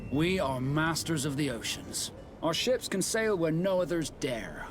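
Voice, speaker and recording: An actor playing a proud, composed young sea captain in a fantasy game, speaking in a measured, formal way. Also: male voice, fairly high pitch, expressive, background noise. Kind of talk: scripted fantasy game dialogue. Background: music.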